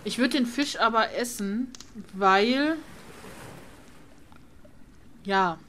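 A woman's voice: fairly high pitch, drawn-out words, a bit bright, a little hiss or hum. A woman doing casual let's play commentary, reading game text aloud and muttering to herself. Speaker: gaming let's player. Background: video game music.

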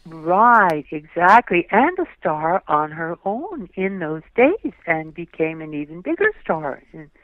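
A woman's voice: fairly low pitch, big pitch swings, no room sound. An older woman speaks graciously, stretching her words and affirming with frequent yeses. Her voice comes down a thin, tinny phone line. It sounds dry, with no room around it. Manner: gracious, drawn-out, affirming yes often. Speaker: guest actress. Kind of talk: interview recollection.

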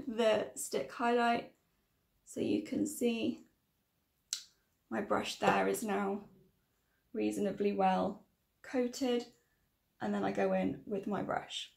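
A woman in her thirties with a croaky, tiring voice, chatting and correcting herself as she presents a casual tutorial to camera. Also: fairly high pitch, a little mellow, reverberant. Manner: chatty, rambling, self-correcting. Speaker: makeup tutorial vlogger. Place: small room indoors.

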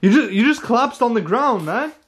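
Alarmed, high-voiced young man, "bro". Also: lively intonation, fairly quick, fairly bright tone, slightly rough. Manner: alarmed, urgent, repeats "bro" and "chill" constantly. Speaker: friend filming the vlog. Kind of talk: casual reactive commentary.

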